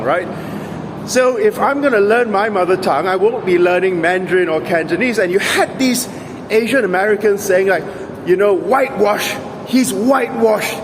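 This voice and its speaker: Sarcastic high-voiced man outdoors. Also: noisy background. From Singapore. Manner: emphatic, sarcastic, argumentative. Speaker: vlogger. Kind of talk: opinionated monologue to camera.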